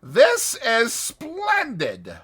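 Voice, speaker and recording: An excitable, boastful toad from a storybook. The voice is pitched way up and swings wildly, bright and loud, gushing in exclamations. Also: male voice, slow pace, heavily acted. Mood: excited, welcoming. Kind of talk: dramatized storybook reading.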